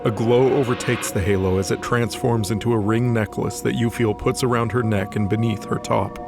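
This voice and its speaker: Casting: male narrator for dramatic fiction, fairly low voice, light music underneath. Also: fairly even intonation, moderate pace, creaky. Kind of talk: scripted dramatic story narration.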